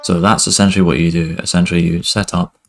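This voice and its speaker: A young man with a low voice and a gravelly edge talks through a music production technique on a headset mic from his home studio. His explanation is relaxed and loose, full of "kind of" and "basically" as he clicks through the software. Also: fast. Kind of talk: screen-recorded software tutorial. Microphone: headset with webcam inset.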